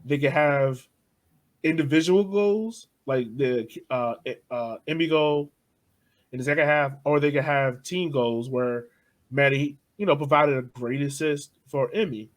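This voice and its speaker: A young man co-hosting a casual sports podcast, talking loosely with frequent laughs and soft trailing asides. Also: a little high, measured pace. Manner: loose and chatty, laughs often, trails off softly. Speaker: podcast co-host.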